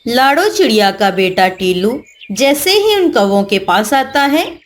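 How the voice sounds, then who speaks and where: A woman's voice: fairly high pitch, sing-song, measured pace. A woman giving scripted narration for a children's story.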